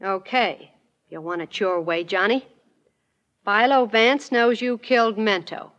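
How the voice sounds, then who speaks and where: A woman's voice: mid-range voice, a little slow, fairly bright tone, dull audio, natural voice. An actress playing a tough, intense young woman in trouble in a 1940s radio detective drama, clipped and insistent. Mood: urgent, pleading.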